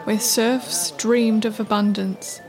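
A woman's voice: fairly high pitch, little pitch movement, takes it slowly, a little mellow. A woman narrator reading a scripted radio play in a storybook style. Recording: faint music under narration.

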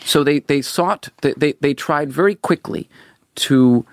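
A man's voice: on the high side, unhurried, dry recording. A middle-aged man from Venezuela answering questions in a political interview, earnest and often repeating words as he starts a sentence. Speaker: interview guest.

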